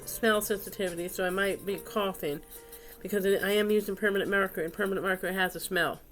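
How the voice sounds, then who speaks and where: A woman's voice: mid-range voice, steady tone, brisk, fairly bright tone, faint music behind. A woman with a stuffy, congested voice, hosting a casual craft video with rambling, unscripted narration as she works.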